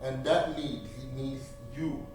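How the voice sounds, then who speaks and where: A man's voice: mid-range voice, fairly even intonation, slow, background noise. A man from Nigeria with a Nigerian accent whose first language is Igbo, giving an earnest church testimony and appeal that repeats key phrases for emphasis. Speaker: seminary graduate speaking at church. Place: church sanctuary. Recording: distant, roomy church recording.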